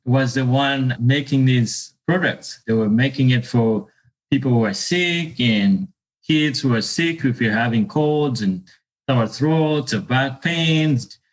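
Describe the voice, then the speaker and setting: A man's voice: mid-range voice, slow, low-quality recording. A man in his thirties from Uganda with a Ugandan accent, a business owner telling his story in an interview, hesitant, with many fillers and repeated words. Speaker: beverage business owner, guest.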